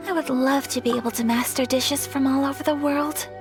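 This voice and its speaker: A woman voicing a gentle, refined young noblewoman who speaks formally and politely in a game cutscene. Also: sits high, fairly even intonation, fairly quick, natural voice. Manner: formal, polite and soft-spoken. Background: game music.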